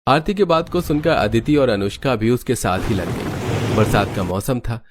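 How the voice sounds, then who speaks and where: A man's voice: medium pitch, expressive, normal speed, soft background music. A man reading scripted narration for a cartoon family story. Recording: faint background noise.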